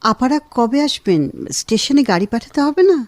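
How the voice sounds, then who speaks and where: A woman playing an affectionate, gently insistent wife in a radio drama, with an expressive, tender delivery. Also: high voice, moderate pace, no room sound. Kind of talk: Bengali radio drama acting.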